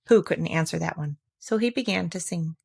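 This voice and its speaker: A woman reading a children's storybook aloud at an easy pace. Her narration is gentle and even, letting the story carry itself. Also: fairly low pitch, moderate pace, creaky, slightly rough. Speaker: reader.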